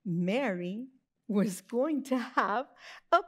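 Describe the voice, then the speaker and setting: A woman narrating a scripted Christmas story for children, expressive, with drawn-out emphasis. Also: fairly high pitch.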